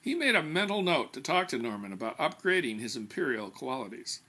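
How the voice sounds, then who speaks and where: Bright, animated male storyteller. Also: medium pitch, fairly quick. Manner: animated storytelling with exaggerated emphasis. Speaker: author reading his own book. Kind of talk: expressive scripted narration of comic fiction.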